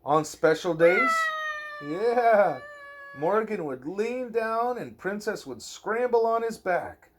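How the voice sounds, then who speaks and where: A young man, a parent reading a storybook aloud to a child, expressive and gentle, slipping into casual asides. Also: high voice, takes it slowly, a little hiss or hum. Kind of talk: storybook read-aloud mixed with casual family chat. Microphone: phone recording, held or propped nearby.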